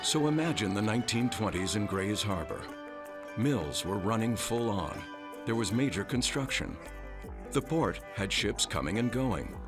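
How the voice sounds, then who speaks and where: A man's voice: fairly low pitch, expressive, unhurried, loud music behind the voice. A man narrating a documentary from a script.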